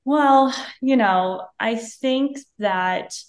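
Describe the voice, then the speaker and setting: Relaxed woman chatting on a video call. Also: on the high side, drawn-out words. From Vietnam. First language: Vietnamese. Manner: relaxed, leans on "like" and "you know". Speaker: guest, chef and wine entrepreneur. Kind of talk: casual interview chat. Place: bright room at home.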